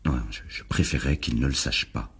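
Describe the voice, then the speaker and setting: Deep, husky, coaxing male charmer. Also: normal speed, fairly bright tone, heavily acted. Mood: secretive. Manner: coaxing, flirtatious, secretive. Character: smooth, persuasive actor-playwright wooing an old flame. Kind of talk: French radio drama acting.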